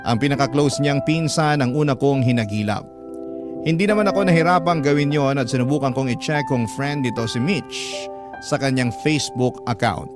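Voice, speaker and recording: A man's voice: medium pitch, moderate pace, music in the background, lightly acted. An actor with a Filipino accent playing a wistful, hopeful man narrating his lost love in a radio drama. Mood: hopeful, reflective. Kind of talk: scripted first-person radio drama narration.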